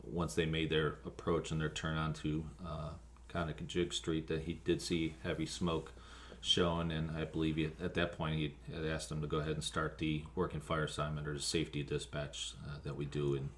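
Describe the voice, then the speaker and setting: An American man, a fire officer being interviewed, recounting events haltingly with frequent ums and pauses. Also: very deep voice, completely flat delivery, a little hiss or hum. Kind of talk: spoken account of an emergency call, interview.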